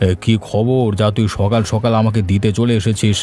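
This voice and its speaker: Man playing a stern, low-voiced village headman. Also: little pitch movement, moderate pace, warm tone, faint music behind, lightly acted. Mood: gruff, impatient. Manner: commanding, threatening. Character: stern, greedy, bullying male village headman. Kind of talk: Bengali cartoon voice acting.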